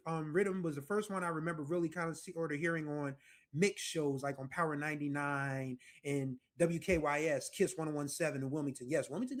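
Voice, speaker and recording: An American man in his forties talks through a music episode in a loose, chatty way, wandering into asides and correcting himself as he goes. His voice sits a little higher than most men's. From United States. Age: 40s. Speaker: music podcast host. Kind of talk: casual music commentary.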